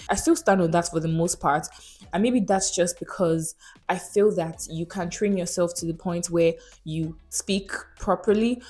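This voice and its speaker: A woman's voice: on the low side, a little mellow. A young Nigerian woman with a Nigerian accent, talking frankly and casually to camera in her vlog. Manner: chatty, frank, asks viewers rhetorical questions. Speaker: vlogger.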